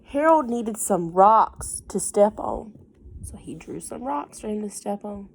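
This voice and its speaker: A woman reads a storybook to little kids at a slow pace. Her pitch moves in lively swings, and she often steps out of the text to explain things simply or ask the listeners a question. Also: vocal fry, slight background noise. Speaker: teacher reading a picture book aloud to children. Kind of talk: children's read-aloud with casual asides and questions.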